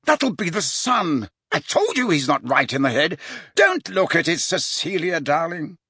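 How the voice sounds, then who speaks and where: A man's voice: on the high side, very animated, a little slow, sharp tone, slightly rough, dry recording, clean studio sound, voice pushed up, full character voice. A man voicing an arrogant, dismissive upper-class young man in a storybook, with a superior manner. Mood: scornful, breezy.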